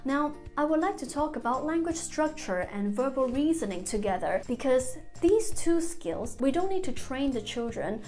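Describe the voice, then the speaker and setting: Higher-voiced woman explaining to parents. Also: expressive, moderate pace, hardly any pauses, darkish tone, soft background music. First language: Cantonese. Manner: explanatory, lists numbered points with examples. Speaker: literacy researcher. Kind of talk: educational talk to parents. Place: office with bookshelves, indoors.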